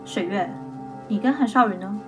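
Casting: determined young female amateur sleuth, acted by a woman, medium pitch, pointed delivery, music underneath. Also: fairly bright tone, lightly acted. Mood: probing. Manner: pointed, deliberate, analytical. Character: cool, determined young female student investigating her attack. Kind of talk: mystery radio drama acting.

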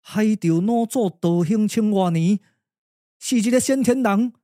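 A man's voice: high-pitched, a little slow, mellow, dry recording, clean studio sound. Man playing an earnest, anxious young Taiwanese warrior. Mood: fearful, protesting. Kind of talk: traditional Taiwanese puppet radio drama.

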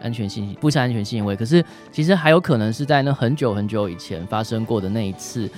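A man's voice: fairly low pitch, expressive, quick pace, darkish tone, faint music behind. A man with a Taiwanese Mandarin accent, speaking as a guest doctor and giving informal, plain-spoken advice in colloquial words. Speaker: doctor, guest expert on a radio show. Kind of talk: informal advice in question and answer.

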